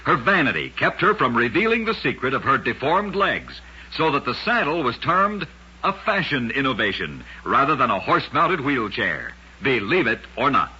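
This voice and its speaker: Muffled vintage radio sound, male narrator, very husky, lively intonation, dramatic scripted storytelling. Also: mid-range voice, normal speed. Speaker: narrator and host of a radio oddities program. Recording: muffled old radio recording.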